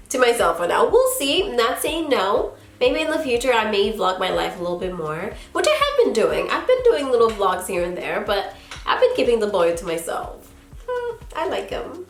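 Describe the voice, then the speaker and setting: Young Haitian-born woman chatting, roomy room. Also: a little high. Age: young adult. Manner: chatty, laughs often, drawn-out "girl" asides. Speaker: YouTuber answering viewer questions. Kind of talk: casual chat to camera. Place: small indoor studio in front of a plain backdrop.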